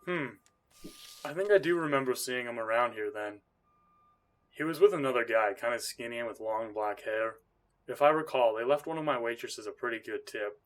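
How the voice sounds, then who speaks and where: A man's voice: mid-range voice, moderate pace, close to an everyday voice, rough recording. A man playing a helpful, casual pizza restaurant owner in an audio drama. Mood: thoughtful, recalling. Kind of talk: amateur audio drama dialogue.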